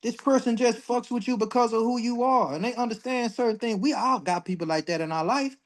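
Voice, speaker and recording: A man's voice: extremely high pitch, fairly quick. Blunt, profane man in his forties. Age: 40s. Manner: blunt, profane, worked up when ranting. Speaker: astrology livestream host. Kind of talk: casual livestream talk.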